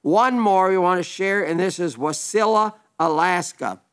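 An elderly male radio preacher with a slightly high voice, reading letters from listeners in a homespun sermon. He talks in a chatty, easygoing way with little stumbles and asides. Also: a little slow, smooth. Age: older. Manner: chatty and warm-hearted, with small stumbles and asides.

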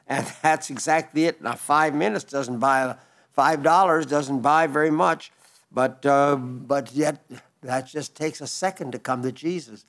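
A man in his nineties giving a broadcast sermon, reading listener letters in a gentle, rambling way with frequent restarts. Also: fairly high pitch, a little slow. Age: 90s. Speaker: radio preacher.

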